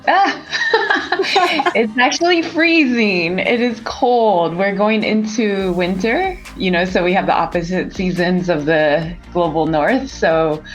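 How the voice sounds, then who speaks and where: A woman's voice: medium pitch, takes it slowly, faint music behind. A woman with a Californian American accent, answering interview questions conversationally over a remote call. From San Francisco Bay Area, United States. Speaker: interview guest, startup co-founder and CEO. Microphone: remote video call.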